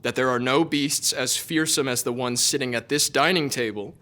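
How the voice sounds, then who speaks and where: An actor playing a cheeky, grandiose young aristocrat in an audio drama, speaking in a wry, declamatory way. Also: male voice, mid-range voice, normal speed, sharp tone, lightly acted. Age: young adult.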